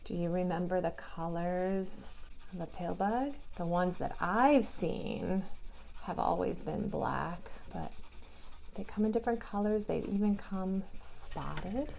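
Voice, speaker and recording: A woman guides young children through a drawing lesson, speaking gently and patiently. Her voice is gravelly with some fry, and she talks at an unhurried pace. A faint hiss sits behind her. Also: fairly low pitch. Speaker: preschool teacher.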